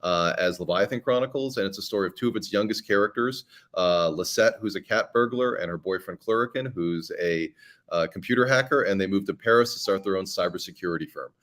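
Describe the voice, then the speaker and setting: Deep-voiced man, friendly podcast guest. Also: fairly quick, a bit bright. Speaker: podcast guest, fiction producer. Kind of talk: casual interview.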